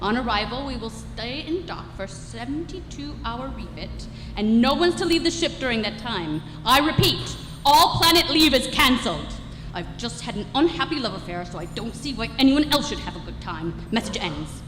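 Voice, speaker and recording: A woman plays a bullying alien starship captain, bitter and self-important, barking curt announcements in a highish voice. It is a live radio-style comedy in a reverberant hall, with some music behind. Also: lively intonation, normal speed, fairly bright tone. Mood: bitter, menacing. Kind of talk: radio comedy stage play. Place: live stage performance in a hall.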